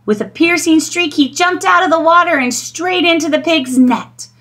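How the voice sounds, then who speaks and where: A middle-aged woman reading a children's storybook aloud in an expressive storytelling style. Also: extremely high pitch, moderate pace.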